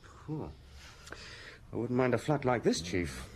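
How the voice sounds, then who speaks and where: An actor playing a keen, respectful junior police inspector in a radio drama. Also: male voice, medium pitch, sing-song, slow, slight background noise. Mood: wry. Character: eager, deferential junior police inspector, man.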